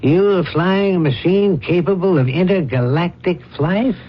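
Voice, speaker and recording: An actor playing a commanding, deal-making millionaire father in a radio drama, with a hearty, brisk, bossy manner. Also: sits high, husky. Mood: shrewd. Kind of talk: old radio drama acting. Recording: muffled, noisy old radio drama recording.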